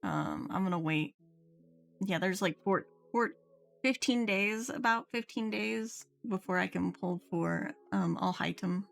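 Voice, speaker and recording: A woman with an average-pitched, slightly gravelly voice hosts a gaming livestream. She chats casually and thinks out loud in loose, unfinished thoughts. Game music plays softly behind her. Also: a little slow. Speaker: game streamer and VTuber.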